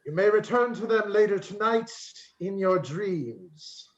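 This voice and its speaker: Through a roomy connection with music underneath comes a solemn dying spirit, played by a man. The voice is high and swings widely in pitch as it declaims grave radio-play warnings. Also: expressive, a little slow. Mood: urgent, solemn. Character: dying, solemn Ghost of Christmas Present. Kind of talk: radio play acting, live reading. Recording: roomy, muffled video call with music.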